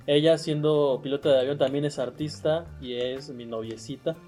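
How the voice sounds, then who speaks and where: A man's voice: on the high side, steady tone, moderate pace, warm tone, faint music behind. A man with a Mexican accent hosting a casual comedy chat show, joking and playful. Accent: Mexican Spanish. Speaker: host.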